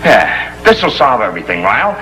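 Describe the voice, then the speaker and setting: An actor playing a brash, wisecracking neighbor, in 1950s sitcom acting. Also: male voice, on the low side, huge pitch swings, moderate pace, pushed and bright, soft background music, full character voice, poor audio. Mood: scheming.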